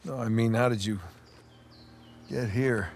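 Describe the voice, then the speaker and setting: A man playing a weary, wry adventurer in his thirties in a cinematic game, speaking naturally with halting understatement. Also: mid-range voice, steady tone, vocal fry, slight background noise. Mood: grateful, curious.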